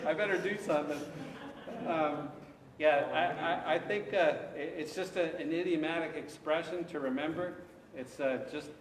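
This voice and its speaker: A man teaching scripture to his congregation in a relaxed, conversational way, answering questions from the pews. The sound is distant, with a long reverb from the sanctuary and a faint hiss of background noise. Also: a little high. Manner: conversational, takes questions, frequent restarts and acted asides. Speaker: pastor. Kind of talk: interactive Bible study teaching. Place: church sanctuary. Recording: echoey church hall from far off.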